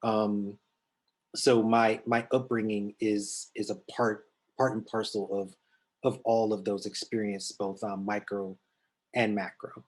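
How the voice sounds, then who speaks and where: Middle-aged New man, panelist on a video call, low husky even voice, halting. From United States. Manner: halting, many restarts and fillers.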